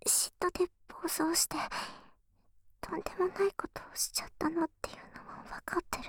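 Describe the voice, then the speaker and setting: A woman playing a shy, jealous and devoted young tsundere girlfriend in an intimate ASMR voice drama, breathy and hesitant, close to a binaural microphone. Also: very high voice. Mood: grateful, tender. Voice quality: breathy whisper. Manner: bashful, hesitant, confiding. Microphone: close binaural microphone, dry.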